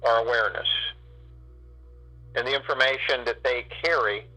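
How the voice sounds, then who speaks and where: A man guiding a spiritual meditation, speaking in slow, drawn-out phrases with long pauses. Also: mid-range voice, fairly even intonation, pushed and bright, soft background music, rough recording. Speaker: meditation guide.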